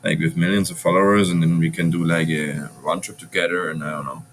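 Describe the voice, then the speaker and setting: A man's voice: low voice, little pitch movement, fast, slight background noise. A man from Germany with a German accent touched by Venezuelan Spanish, talking bluntly and animatedly as a podcast guest, swearing freely. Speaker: podcast guest, bar owner. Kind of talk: casual interview chat.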